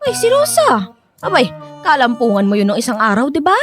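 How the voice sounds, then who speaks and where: A woman's voice: sits high, huge pitch swings, fairly quick, faint music behind. An actress playing a feisty, exasperated woman with a Filipino accent, scolding and sarcastic in a radio drama. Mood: huffy, sarcastic. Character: feisty exasperated woman fending off an elderly suitor.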